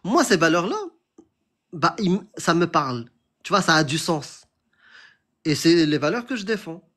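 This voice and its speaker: A young man with a somewhat high voice hosts a debate, talking insistently and pressing his point. He challenges the other speaker again and again, calling him brother. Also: expressive, moderate pace, a bit bright. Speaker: host of a debate stream. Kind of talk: heated debate on religion.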